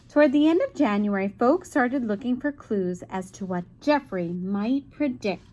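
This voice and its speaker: A woman's voice: on the high side, animated, measured pace, darkish tone, rough recording. A woman teacher reading a children's picture book aloud expressively, with chatty asides to young listeners.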